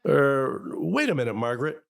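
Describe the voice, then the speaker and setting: A middle-aged American family father from a 1950s radio comedy. His voice swings widely in pitch as he questions and takes charge, good-natured yet easily exasperated. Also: big pitch swings, moderate pace, vocal fry. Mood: exasperated, probing.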